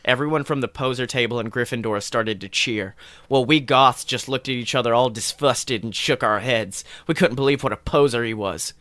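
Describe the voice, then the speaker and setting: A man's voice: medium pitch, brisk, sharp tone, no room sound. A man co-hosting a podcast, giving a playful, mock-dramatic reading of a story with sardonic asides. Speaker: podcast co-host.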